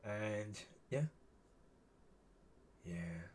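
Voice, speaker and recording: A man with a husky voice, talking alone in a rambling, musing way and often saying "yeah". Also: fairly low pitch, very slow, a little mellow. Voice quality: husky, gravelly. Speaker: solo video talker. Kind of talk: rambling solo monologue.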